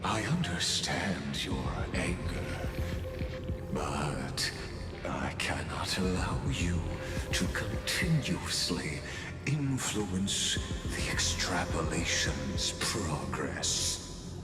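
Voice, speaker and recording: Slow, deep-voiced calculating villain. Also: male voice, very animated, over loud music, heavily acted. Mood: coolly condescending. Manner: slow, deliberate, formal, condescending. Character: cold calculating male villain, scholarly schemer, menacingly polite. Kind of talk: theatrical game dialogue.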